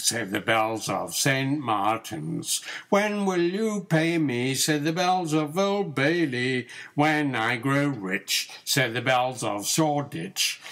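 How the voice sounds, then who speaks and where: Older man, high-pitched, lively nursery rhyme reading. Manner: sing-song, rhythmic storytelling for a small child. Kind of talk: expressive nursery rhyme recitation.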